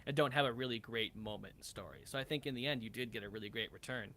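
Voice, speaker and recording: A man speaking as a podcast guest in animated, casual chat, telling stories in an enthusiastic, rambling way. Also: medium pitch, fast talker, slight background noise. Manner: rambling, enthusiastic storytelling with acted-out quotes.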